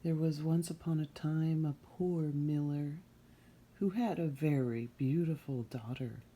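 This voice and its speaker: A woman reading a fairy tale aloud as a storyteller, in an unhurried, expressive storytime manner. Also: low-pitched, husky.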